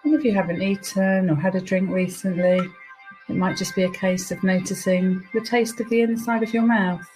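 A woman leading a guided relaxation meditation, giving soothing, unhurried instructions with pauses. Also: medium pitch, fairly even intonation, darkish tone, poor audio. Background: music behind the voice.

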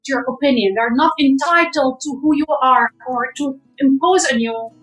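A woman's voice: sits high, moderate pace, a bit bright, faint music behind. A woman from Jerusalem, a guest giving fervent, urging advice in an interview, often repeating herself for emphasis. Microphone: camera. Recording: echoey room.

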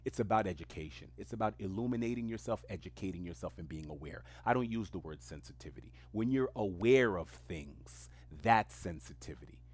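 Deep-voiced New man musing solo. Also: animated, brisk, hardly any pauses, a little mellow. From New York City, Queens. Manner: reflective, emphatic, rhetorical repetition. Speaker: podcast host. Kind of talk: solo stream-of-consciousness monologue.

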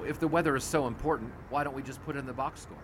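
An actor playing an earnest, witty young 1920s sportswriter with a wry, teasing manner in a period audio drama. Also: male voice, mid-range voice, quick pace, noisy background, lightly acted.

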